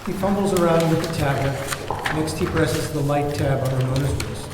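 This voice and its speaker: A man's voice: on the high side, moderate pace. A man reading scene descriptions and stage directions as narrator of a screenplay table read. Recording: very echoey hall, distant mic, faint noise.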